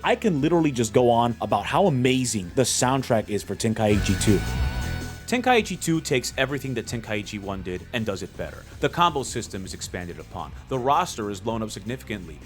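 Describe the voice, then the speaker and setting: A man talks through a game ranking with a lively, swooping pitch, his voice hoarse from being sick. He is blunt and gets excited quickly. Soft music plays under him. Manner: excitable, blunt, with playful outbursts. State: hoarse from illness. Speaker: gaming video creator. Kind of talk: opinionated tier list review.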